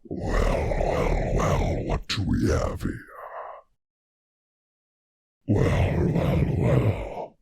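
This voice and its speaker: Huge, abyssal-deep gravelly dragon. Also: male voice, darkish tone, dry recording, full character voice. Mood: sly, mocking. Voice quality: gravelly, raspy, breathy growl. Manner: slow, drawn-out, menacing delivery. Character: huge menacing male dragon guarding his hoard, haughty and threatening. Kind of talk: fantasy game creature voice lines.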